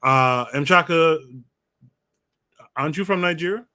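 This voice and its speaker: A man hosting a podcast livestream, reading out viewer comments and calling on guests in a relaxed way. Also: on the high side, measured pace, smooth. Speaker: podcast host. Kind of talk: moderating a livestream discussion.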